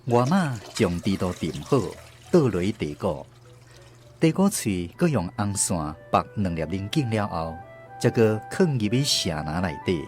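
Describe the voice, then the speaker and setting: A woman's voice: rumbling low, very animated, a little slow, a little mellow, soft background music, heavily acted. A woman with a Taiwanese accent narrating a radio drama as a grown daughter recounting her family's past. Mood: storytelling.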